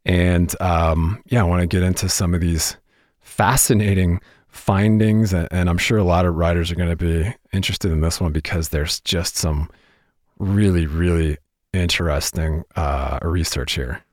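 A man with a very deep voice hosts a podcast interview, asking long, enthusiastic questions full of fillers. The recording is dry and close, with no room sound. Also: steady tone, moderate pace, a little mellow, dry recording. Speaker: podcast host.

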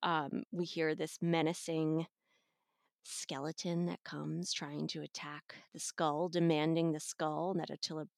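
A woman's voice: deep voice, flat delivery, unhurried, creaky, no room sound, studio quality. A middle-aged woman talking casually as an audiobook reviewer.

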